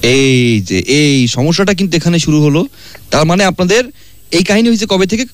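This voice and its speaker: A man's voice: on the high side, animated, fairly quick, no room sound. A man hosting a radio prank call, posing as a salesman with pushy, rambling patter from a studio. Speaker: radio host.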